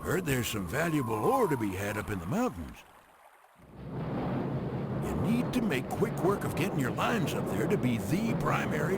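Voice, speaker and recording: Gravelly, animated American old-timer railroad man. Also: on the high side, very noisy, full character voice. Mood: urging. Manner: folksy, scolding, colorful old-time slang. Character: crusty folksy old-timer railroad man, gruff and teasing. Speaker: game narrator. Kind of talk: theatrical scripted game cutscene narration.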